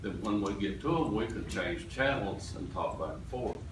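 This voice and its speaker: Deep-voiced older man, very echoey room. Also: expressive, normal speed. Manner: plain, matter-of-fact. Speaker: volunteer fire chief speaking at a meeting. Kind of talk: informal public comment. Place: public meeting room. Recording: very echoey, distant mic, noisy room.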